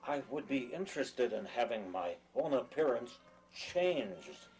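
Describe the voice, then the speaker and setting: A man playing a timid country farmer on the run, humbly pleading in a halting, stammering way in a drama. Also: mid-range voice, sing-song, a little mellow, faint music behind, low-quality recording. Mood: nervous, pleading. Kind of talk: dramatic dialogue in an audio play or table reading.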